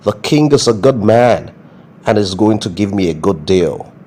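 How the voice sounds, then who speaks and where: Male performer doing a captured criminal, affected American accent, low-pitched, begging, aggrieved, comic skit. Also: lively intonation, a little slow, mellow. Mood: aggrieved pleading. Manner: pleading, aggrieved. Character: pleading, cornered male criminal gang boss. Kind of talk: comedy skit acting.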